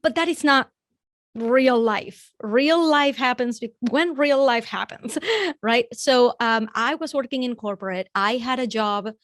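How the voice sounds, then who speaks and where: A woman in her forties with a Venezuelan Spanish accent speaks in a fairly high, lively voice. She talks about her work in an energetic, motivational, straight-talking way. Her voice is recorded dry and close, with no room sound. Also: unhurried, dry recording. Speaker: guest business coach. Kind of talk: podcast interview conversation.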